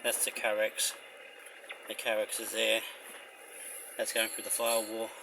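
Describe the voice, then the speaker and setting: Low, flat-toned Australian man, noisy background. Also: unhurried. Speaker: vlogger showing a DIY car radio install. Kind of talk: casual hands-on walkthrough. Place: in and around a car under a carport. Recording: noisy handheld recording on location.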